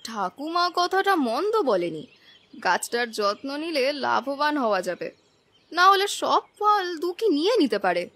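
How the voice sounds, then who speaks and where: Woman voicing a shrill, swooping, vain young sister. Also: sing-song, moderate pace, a bit bright, heavily acted. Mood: greedy, scheming. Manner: dismissive, mocking. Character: vain, greedy, sneering young village sister. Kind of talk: Bengali cartoon story dubbing.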